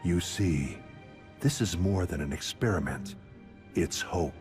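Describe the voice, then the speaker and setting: An actor playing a sinister, solemn older scholar in a video game, speaking in a grave, portentous manner. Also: male voice, low voice, measured pace, a little mellow, faint music behind. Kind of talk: scripted video game cutscene acting.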